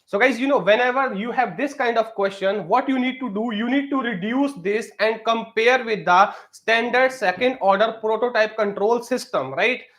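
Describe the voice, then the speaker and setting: High-voiced man teaching a live stream. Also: normal speed, hardly any pauses, a bit bright. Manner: repeats pleas for comments, many fillers. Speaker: exam prep tutor.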